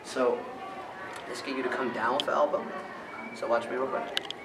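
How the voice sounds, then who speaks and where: A man's voice: mid-range voice, normal speed, creaky. A man teaching a stage-fight workshop, demonstrating punches and elbows as he explains. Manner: energetic, demonstrating moves while talking. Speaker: stunt fight instructor. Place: large carpeted event room indoors. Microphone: far camera. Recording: echoey room from far off, loud background noise.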